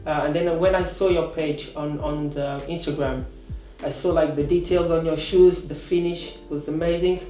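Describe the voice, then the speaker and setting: Student, 30s, halting English, in an echoey room over music. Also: male voice, fairly high pitch, fairly even intonation, dark tone. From Portugal. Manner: hesitant, many fillers and restarts. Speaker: course student. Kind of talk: casual interview answers.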